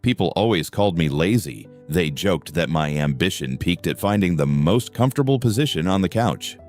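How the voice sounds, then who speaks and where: A young man giving scripted first-person story narration in an introspective, wry manner. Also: on the low side. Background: soft music.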